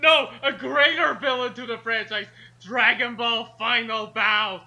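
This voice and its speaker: A man acts out an excitable cartoonish villain with a very high, piercing voice, boasting grandly and theatrically. The recording is dull and lo-fi. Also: measured pace, exaggerated voice. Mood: gloating.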